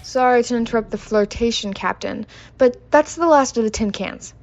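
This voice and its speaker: An actress playing a disgruntled, deadpan, sarcastic spaceship mechanic in a sci-fi comedy audio drama. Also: female voice, fairly high pitch, normal speed, highs cut off, soft background music, close to an everyday voice. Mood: brash.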